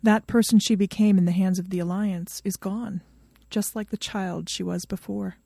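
A woman's voice: mid-range voice, steady tone, moderate pace, vocal fry, warm tone, dry recording. A woman reading a story aloud as scripted narration. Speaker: fan fiction reader.

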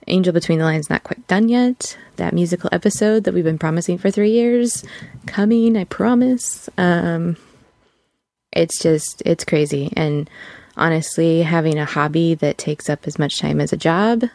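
A woman's voice: on the low side, moderate pace, gravelly, darkish tone, no room sound. A woman hosting a podcast in casual, informal chat, acting out imagined remarks as she goes. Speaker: podcast host. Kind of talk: casual chat introducing a fan fiction reading.

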